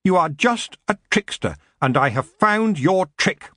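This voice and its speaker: Man playing a calm, polite young hero. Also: medium pitch, husky, no room sound, clean studio sound. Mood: angry. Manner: measured, courteous, firm.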